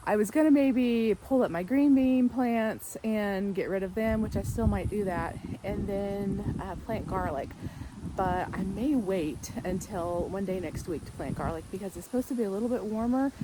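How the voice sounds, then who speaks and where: A woman, slightly raspy from a sore throat, chatting casually through a garden vlog while filming outdoors on her phone. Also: medium pitch, dark tone, a little hiss or hum, low-quality recording. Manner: chatty, rambling with many ums. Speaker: vlogger, gardening channel host. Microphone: phone held in hand.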